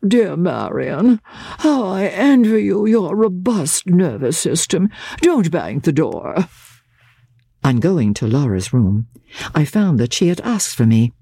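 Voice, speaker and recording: A woman giving a formal, expressive and measured dramatic narration of a diary from a classic novel. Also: rumbling low, husky, no room sound, studio quality. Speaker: audiobook narrator.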